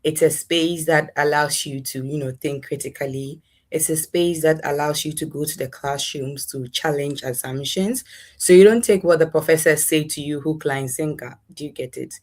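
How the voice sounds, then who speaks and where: A woman's voice: low-pitched, moderate pace, a little mellow. A young adult woman with a Ghanaian accent, giving conversational answers as an interview guest. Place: in a room at home, online interview.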